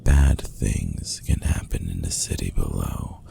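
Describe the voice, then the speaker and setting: Male actor as stern fantasy ruler, extremely low rumbling voice, slow measured delivery, hushed, formal and commanding, ASMR roleplay. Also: expressive, a little hiss or hum, full character voice. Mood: calm, soothing. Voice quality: gravelly, hushed, half-whispered. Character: stern, possessive masked ruler of an underground city.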